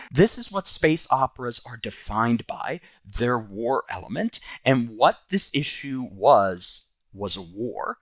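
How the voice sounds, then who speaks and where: A man's voice: medium pitch, fairly bright tone, dry recording. A man hosting and narrating a scripted comic book review.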